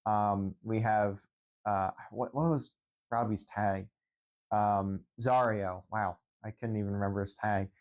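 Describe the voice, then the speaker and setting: A young man from Central Pennsylvania with a fairly low voice talks off the cuff into his phone. He rambles, restarts sentences and leans on "um" and "like" as he goes. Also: fairly even intonation, unhurried, darkish tone. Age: young adult. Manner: rambling, many restarts and fillers. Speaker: esports head coach. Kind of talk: unscripted vlog monologue.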